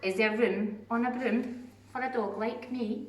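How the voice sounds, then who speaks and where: A woman's voice: fairly high pitch, steady tone, unhurried, a little mellow, pitched above the performer's own voice, close to an everyday voice. A keen, polite storybook dog, voiced by a woman, speaking eagerly in rhyme. The sound is roomy and a little distant. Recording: roomy, distant mic, faint noise.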